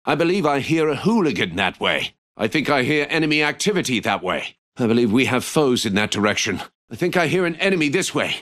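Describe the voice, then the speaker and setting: A man playing a formal, courteous hunter-warrior in a shooter video game, with measured wording. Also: mid-range voice, very animated, a bit bright. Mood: watchful, formal.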